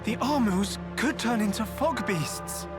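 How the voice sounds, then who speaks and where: An earnest young male game hero, with a very lively, varied pitch. He sounds determined and plain-spoken, and music plays clearly behind him. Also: a little slow. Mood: alert. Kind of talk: video game cutscene acting.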